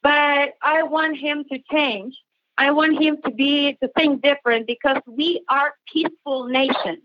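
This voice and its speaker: High-voiced Ukrainian woman, halting speech. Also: no room sound. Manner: halting, careful, searching for words. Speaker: interviewee interpreting for a relative. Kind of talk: earnest interview answers in second-language English. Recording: slightly dull, lo-fi remote line.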